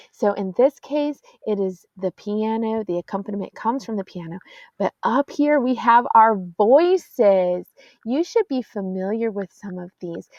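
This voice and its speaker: A choir teacher, patiently explaining sheet music to middle school singers and counting beats aloud. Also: female voice, fairly high pitch, gravelly, a little mellow, no room sound. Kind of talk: classroom music lesson. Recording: dull, dry lo-fi audio.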